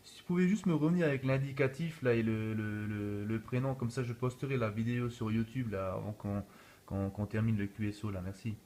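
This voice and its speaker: A man's voice: lowish voice, steady tone, a little fast, a little mellow. A man talking casually and easygoing as an amateur radio operator, recorded on a phone. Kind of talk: casual radio contact chat. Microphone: phone filming in video mode. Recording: noisy room recording on a phone.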